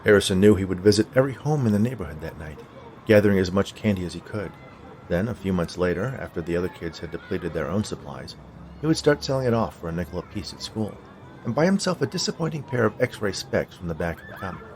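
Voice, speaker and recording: A man's voice: low voice, very animated, quick pace, a little mellow, a little hiss or hum. A man reading a scripted short story aloud as its narrator.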